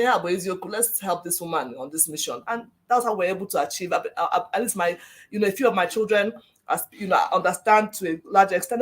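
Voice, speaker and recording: A Nigerian woman tells stories at a fast clip with animated energy. She laughs as she goes, keeping a warm conversational flow. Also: medium pitch. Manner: animated, quick storyteller who laughs. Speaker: parent in a discussion. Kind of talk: casual storytelling.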